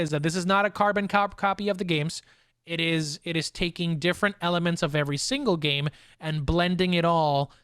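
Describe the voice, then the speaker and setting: A man's voice: fairly high pitch, a bit bright, clear, resonant tone, dry recording, studio quality. A young man hosting a casual pop culture discussion, talking enthusiastically in informal, looping, hesitant phrases. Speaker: podcast host.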